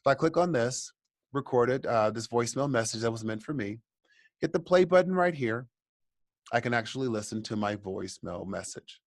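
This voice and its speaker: A man presenting a software tutorial, explaining each step and speaking directly to the viewers in an instructional way. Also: medium pitch, animated, moderate pace, dry recording, studio quality. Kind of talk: software tutorial walkthrough, semi-scripted explanation.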